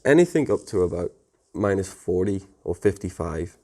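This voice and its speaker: A man's voice: sits low, sing-song, normal speed, darkish tone. A man giving a casual technical tutorial in an informal, plain-spoken, conversational way. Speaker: technical presenter explaining network setup.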